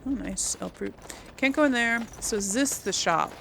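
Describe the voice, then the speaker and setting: A woman's voice: on the high side, normal speed, vocal fry, fairly bright tone, a little hiss or hum, low-quality recording. A woman doing casual let's play commentary, chatting and musing aloud as she plays. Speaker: game streamer, role-player. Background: game ambience.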